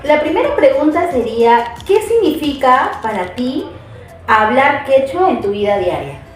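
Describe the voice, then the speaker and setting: A woman's voice: high-pitched, big pitch swings, unhurried, a little hiss or hum. Woman interviewer in an echoey room. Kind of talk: asking interview questions. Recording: very echoey room, far from mic, faint music.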